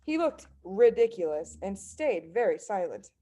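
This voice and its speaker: A woman narrating a children's book as story reader, in an expressive read-aloud style. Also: mid-range voice, unhurried.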